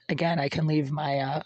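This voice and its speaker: A vlogger with a hoarse, raspy voice that cracks and breaks, ranting in an aggrieved way from a cold apartment. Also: mid-range voice, dry recording, highs cut off. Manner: aggrieved, ranting, insults critics. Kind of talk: casual complaint vlog.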